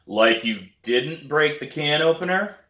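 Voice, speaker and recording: A man's voice: fairly high pitch, measured pace, pitched above the performer's own voice. A grouchy, skeptical dad acted by a man reading a storybook speaks firmly, with dry sarcasm and plenty of rise and fall. The room around the voice is echoey. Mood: stern, sarcastic. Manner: calm but firm, sarcastic. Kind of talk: acted dialogue in a children's book reading. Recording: roomy echo.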